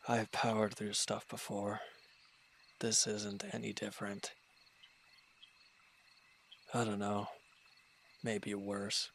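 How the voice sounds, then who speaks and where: Wounded villain for roleplay audio, male actor: lowish voice, proud, embittered, short-tempered, brusque and dismissive delivery. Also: monotone, slow pace, vocal fry, long pauses, fairly bright tone, heavily acted. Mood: irritated, defensive. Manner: curt, irritable, dismissive, sighing. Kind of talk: dramatic roleplay audio monologue.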